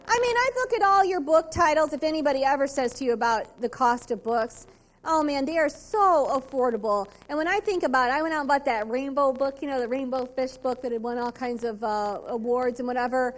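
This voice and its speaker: A middle-aged woman, giving an upbeat, precise and animated sales training talk at a convention. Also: high-pitched, fairly quick.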